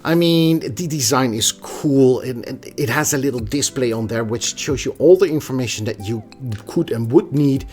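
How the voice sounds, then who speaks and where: A man's voice: mid-range voice, moderate pace, a little mellow, soft background music. A man giving a casual, opinionated tech review in a conversational manner. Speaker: product reviewer.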